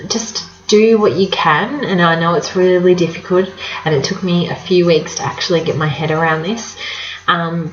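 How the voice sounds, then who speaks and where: A woman's voice: lowish voice, echoey room, highs cut off. Chatty woman with a cold. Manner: chatty, warm-hearted advice with many fillers. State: slightly nasal from a cold. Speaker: vlogger. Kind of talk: casual chat to camera.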